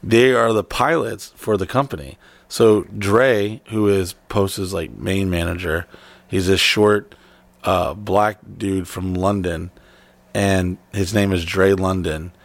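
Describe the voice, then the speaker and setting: A man with a fairly low voice tells a loose, rambling story in casual chat, swearing freely. The sound is dry and close. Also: steady tone, slow pace, vocal fry, no echo.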